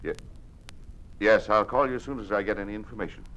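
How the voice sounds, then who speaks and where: An actor playing a weary, burdened government official in an old-time radio drama, with a grave, measured delivery. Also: male voice, on the low side, a bit bright, close to an everyday voice, poor audio. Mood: tense, grave. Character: weary, burdened official resisting a tyrannical regime.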